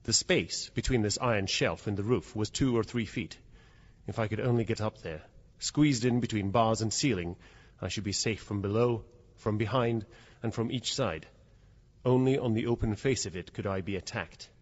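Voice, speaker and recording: A man's voice: on the low side, normal speed, close to an everyday voice. An actor playing a formal, intense man in mortal fear, telling his story in a radio drama, on a dull, noisy recording. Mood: hushed dread. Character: terrified male narrator trapped with a predatory cat. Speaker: narrator and lead character.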